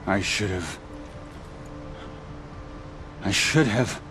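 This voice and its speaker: A man's voice: lowish voice, lively intonation, drawn-out words, fairly bright tone, soft background music, heavily acted. A man playing a dying, bitter and arrogant hunting champion in a video game, his speech weak and labored. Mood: bitter, fading.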